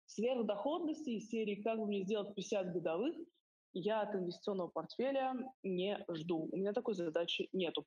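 A husky middle-aged woman, a financial advisor, lays out her approach in orderly numbered points with frequent filler pauses. Her voice sits at a middling pitch, and the lo-fi voice-chat line dulls it. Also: darkish tone. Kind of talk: explaining her investing approach in a live audio chat. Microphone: voice chat over phone or computer. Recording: dull lo-fi voice chat audio.

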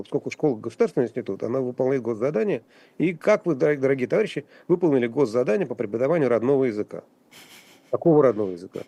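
A man speaking as a guest commentator on a radio talk show, halting and wry, with frequent restarts and fillers. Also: medium pitch, gravelly, warm tone, dry recording. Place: radio studio.

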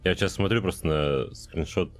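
A man's voice: low-pitched, moderate pace. A man co-hosting a podcast, dropping short dry remarks into a casual chat. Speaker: podcast co-host.

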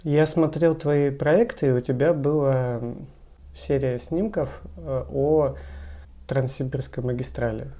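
Male podcast interviewer, mid-range voice, dark relaxed timbre, conversational delivery. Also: mid-range voice, a little slow.

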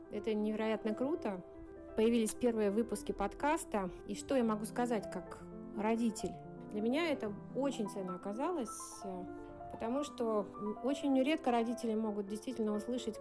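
A middle-aged mother speaks in a measured, thoughtful way, her voice at an ordinary pitch. Clear music runs underneath her words. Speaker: guest parent. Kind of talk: prepared statement.

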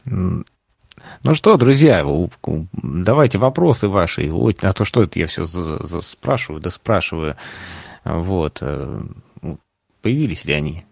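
Мужчина, ведущий непринуждённой беседы о личном опыте, говорит с запинками, повторяя начала слов. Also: male voice, low-pitched, big pitch swings, a little slow, vocal fry, a little mellow, breathy edge, no echo. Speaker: host. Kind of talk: casual travel conversation.

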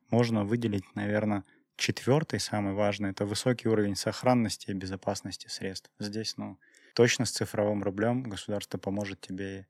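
A man talking conversationally on a podcast, explaining and reasoning aloud in a measured way. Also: on the low side, dry recording, clean studio sound. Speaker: podcast co-host, IT professional. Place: podcast recording studio. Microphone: close studio microphone.

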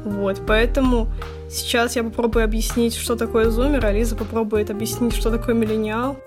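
A young woman in her twenties co-hosts a casual podcast and tells stories in a loose, slangy way. Her voice sits fairly high. Music plays clearly behind her. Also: steady tone, a little fast. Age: 20s. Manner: chatty storytelling with slang. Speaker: podcast co-host. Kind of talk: casual chat.

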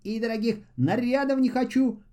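Man voicing an earnest peasant boy, high-pitched. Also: moderate pace, mellow. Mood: resolute. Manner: earnest, plaintive, polite. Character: good-hearted, downtrodden fifteen-year-old peasant boy. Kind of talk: storybook fairy-tale voice acting.

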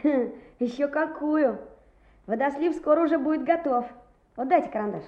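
Casting: confident schoolboy for radio drama, very high, animated, brisk, in a roomy vintage recording. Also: female voice. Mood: earnest, indignant. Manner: brisk, boyishly assertive. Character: eager, stubborn schoolboy, confident young builder. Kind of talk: Soviet radio play acting. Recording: muffled, roomy old radio play recording.

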